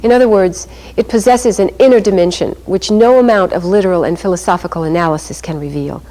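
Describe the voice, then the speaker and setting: A woman with a fairly low voice narrates a documentary script. Her delivery is formal and even. She reads steadily, like a program narrator. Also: fast talker, rough recording.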